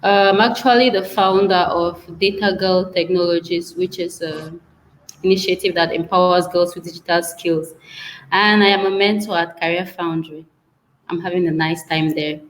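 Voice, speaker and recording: Woman with a low voice. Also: fairly even intonation, slight background noise, poor audio. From Cameroon. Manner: measured, explanatory, with frequent "uh" pauses. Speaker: panelist, tech mentor. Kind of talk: panel discussion.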